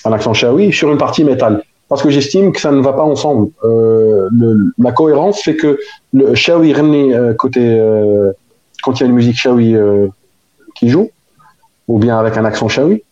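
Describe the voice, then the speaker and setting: A man's voice: mid-range voice, normal speed, a little mellow, lo-fi sound. A man from Algeria, a metal band singer, answering interview questions casually with many fillers and restarts.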